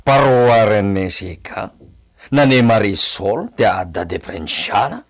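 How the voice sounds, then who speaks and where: A man's voice: fairly low pitch, expressive, unhurried. A man playing a wealthy, doting father and villa master in a radio comedy drama, theatrical and fond of Spanish exclamations. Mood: questioning.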